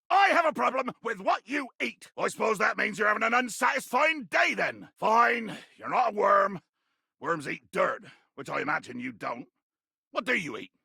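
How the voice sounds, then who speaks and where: Raspy, bombastic little sea captain. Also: male voice, very high voice, bright, forceful tone, no echo, studio quality, exaggerated voice. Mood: exasperated disdain. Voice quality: raspy, gravelly. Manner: bombastic, theatrical, archaic nautical insults and sudden roars. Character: tiny, grandiose, furious sea captain trapped in a glass bottle. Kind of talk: video game character acting.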